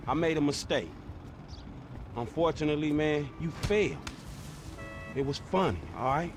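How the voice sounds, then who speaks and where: A male actor voices a young street guy from a video game, easygoing and eager to smooth things over. His slangy delivery moves up and down a lot. Clear street noise sits behind him. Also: fairly high pitch, lively intonation, normal speed, lightly acted. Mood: placating. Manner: slangy, placating. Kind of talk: video game cutscene acting. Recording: game audio with noisy outdoor ambience.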